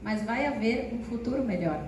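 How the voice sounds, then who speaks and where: A Brazilian woman interprets a speaker phrase by phrase, quick and brisk, her voice sitting a little high. She is heard from a distance in a large hall full of echo, with faint noise behind. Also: animated, darkish tone. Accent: Brazilian Portuguese. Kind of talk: live consecutive interpreting on stage.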